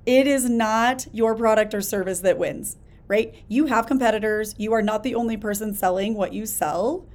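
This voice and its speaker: A woman hosting a solo podcast, talking in an energetic, emphatic, conversational way with a hoarse voice while she gets over a chest illness. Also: on the high side, moderate pace. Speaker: podcast host. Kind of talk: casual solo podcast talk.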